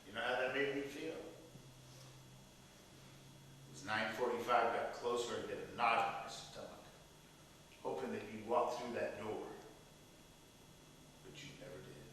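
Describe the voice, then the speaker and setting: A man's voice: mid-range voice, steady tone, moderate pace, pauses often, pushed and bright, lightly acted. An actor playing an exasperated, sarcastic grown son of an aged father in a stage play, incredulous and aggrieved. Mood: hurt. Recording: echoey stage hall from far off, faint noise.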